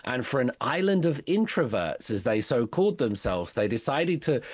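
A man's voice: mid-range voice, big pitch swings, moderate pace, breathy edge, no room sound. A man talking casually as a radio guest, chatty and self-deprecating. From Britain. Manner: chatty, self-deprecating, stumbles over foreign words.

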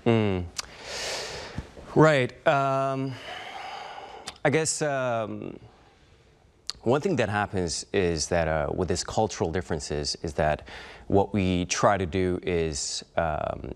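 A man's voice: low voice, slow, long pauses, smooth. A man with a slight Korean accent, an actor speaking as an interview guest, thoughtful and hesitant with many fillers. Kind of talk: interview conversation.

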